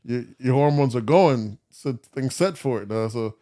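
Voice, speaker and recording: A man's voice: medium pitch, normal speed, mellow. A man co-hosting a casual podcast, giving his opinions in a slangy, profane, rambling way. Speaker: podcast co-host.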